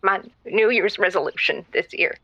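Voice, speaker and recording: A woman's voice: mid-range voice, moderate pace, sharp tone, slightly rough, no echo. An actress playing an earnest, oblivious woman phoning a radio show, rambling sincerely through a phone filter. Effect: radio phone-line filter. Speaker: caller. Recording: muffled phone call-in line.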